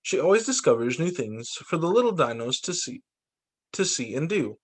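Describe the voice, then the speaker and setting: A man who teaches young children, reading picture books aloud in an expressive, sing-song storytime delivery. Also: fairly high pitch, normal speed, slightly rough. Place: remote video call story session.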